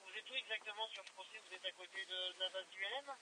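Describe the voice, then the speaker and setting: High-voiced man over a noisy two-way radio. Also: fast talker. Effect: two-way radio transmission. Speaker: amateur radio operator. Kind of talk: casual radio contact chat.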